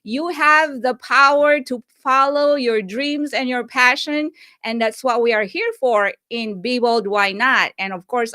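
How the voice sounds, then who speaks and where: A woman's voice: fairly high pitch, bright, forceful tone, no room sound, studio quality. A woman, upbeat and enthusiastic as she hosts a live show and mixes in Tagalog phrases. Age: 50s. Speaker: host.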